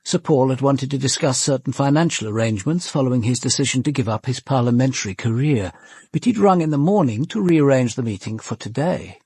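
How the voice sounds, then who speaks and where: An actor playing a composed, observant police commander with an English accent, in measured, formal radio drama narration and questioning. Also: male voice, medium pitch, expressive, warm tone, breathy edge, no room sound. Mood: reflective, measured. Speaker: detective narrator.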